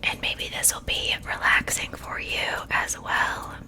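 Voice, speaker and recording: A sweetly menacing witch, played by a woman in an ASMR roleplay. She speaks entirely in a whisper, unhurried and soothing. Her reassurance is false, the coaxing of a captor who plans to cook her guest. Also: pushed and bright. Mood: soothing, gleeful.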